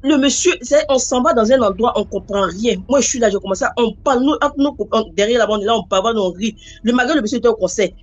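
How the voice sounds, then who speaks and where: A woman telling a personal story in a rambling, animated way, acting out the voices she quotes. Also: fairly high pitch, fast talker, hardly any pauses, muffled recording. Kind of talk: casual spoken storytelling.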